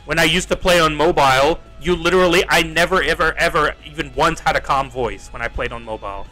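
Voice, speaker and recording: Exasperated young Australian gaming streamer. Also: male voice, on the high side, quick pace, bright, forceful tone, faint music behind, low-quality recording. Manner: rambling, exasperated, swears, repeats himself. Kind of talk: casual livestream chat while playing.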